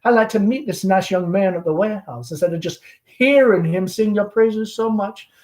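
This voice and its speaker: Genteel, fretful middle-aged Southern mother for a stage play, played by a man. High, gushing, rambling, theatrical. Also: moderate pace, a little mellow, close to an everyday voice. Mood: gushing, sociable.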